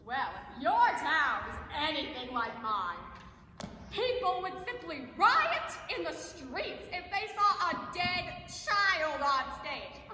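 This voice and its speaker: Actress as stage-parody infomercial saleswoman: very high, bright, gushing and patriotic, recorded in an echoey hall. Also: sing-song, slow, exaggerated voice. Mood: salesy, confiding. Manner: over-enthusiastic, emphatic, salesy. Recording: echoey hall, faint background noise.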